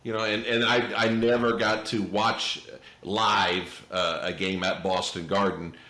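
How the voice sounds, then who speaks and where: A middle-aged American man from Indiana hosting a podcast in casual chat, with halting, repetitive phrasing. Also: fairly low pitch, little pitch movement, sharp tone. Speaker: podcast host, interviewer. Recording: roomy, echoey pickup.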